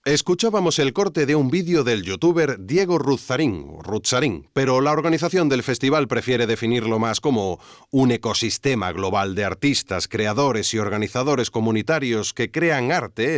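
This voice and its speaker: A man's voice: mid-range voice, animated, normal speed, no room sound, studio quality, close to an everyday voice. A man playing a wry investigative narrator, reading scripted documentary narration in an audio drama. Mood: wry storytelling. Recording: faint music bed.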